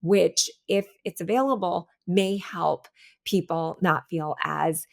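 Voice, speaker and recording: A woman's voice: lowish voice, slow, creaky. A woman hosting a conversational medical discussion, speaking as a physician to a lay audience. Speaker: podcast host, physician.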